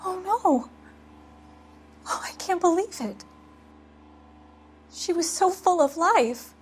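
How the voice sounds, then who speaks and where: An actress playing a refined, guarded young fiancée in a 1940s detective video game. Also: female voice, extremely high pitch, animated, takes it slowly, slight background noise, heavily acted. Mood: shocked grief.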